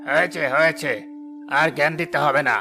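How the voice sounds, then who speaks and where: Man acting a gravelly, arrogant rich villain. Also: on the high side, fairly even intonation, brisk. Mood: mocking, scornful. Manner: mocking, bossy, pompous. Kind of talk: cartoon dialogue. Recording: clear music bed behind the voice.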